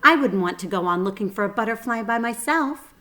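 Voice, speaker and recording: A woman's voice: a little high, expressive, a little fast, sharp tone. A woman voicing an eager, wide-eyed young boy in a children's storybook, earnest and curious. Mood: grateful. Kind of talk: children's storybook read-aloud.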